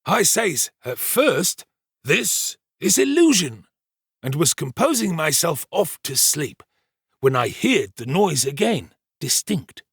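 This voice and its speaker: A pompous manservant from a storybook , acted out by a man, full of his own importance. His voice creaks and rasps, and he draws out each emphatic phrase in great swoops of pitch. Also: sing-song, slow, fairly bright tone, dry recording, clean studio sound, full character voice. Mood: self-important, suspenseful. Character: pompous, self-important male servant telling his alarming adventure. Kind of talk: dramatised storybook reading.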